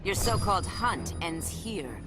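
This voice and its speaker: Casting: noble warrior princess, lowish voice, animated intonation, stern and resolute, loud music underneath. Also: female voice, a little slow. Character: proud, stern Amazon warrior princess heroine. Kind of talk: dramatic video game acting. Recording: game audio over loud music.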